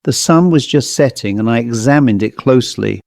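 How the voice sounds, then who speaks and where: A man reading a horror story as an audiobook narrator, in a measured, literary, theatrical way. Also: medium pitch, expressive, gravelly, a little mellow, no room sound, studio quality.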